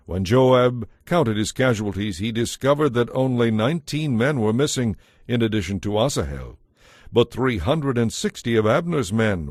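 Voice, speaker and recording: A man's voice: medium pitch, a little mellow, no room sound, studio quality. A man narrating a dramatized audio Bible as a scripted storyteller.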